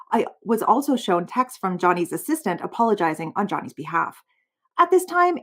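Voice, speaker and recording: A woman doing a voiceover, reading a formal legal witness statement aloud. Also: mid-range voice. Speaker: voiceover reader.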